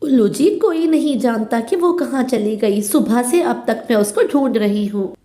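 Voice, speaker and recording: A woman's voice: on the high side, normal speed, mellow, close to an everyday voice. A woman voicing a crafty, emphatic female crow in a children's cartoon, with roomy echo. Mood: nervous. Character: jealous, scheming female crow, later repentant. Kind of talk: Hindi children's cartoon dubbing.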